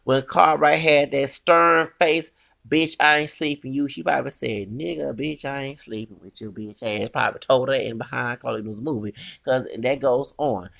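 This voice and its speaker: A man's voice: medium pitch, normal speed, creaky, a bit bright. A man, ranting in a heated, rambling commentary and scolding his critics with curses. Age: 40s.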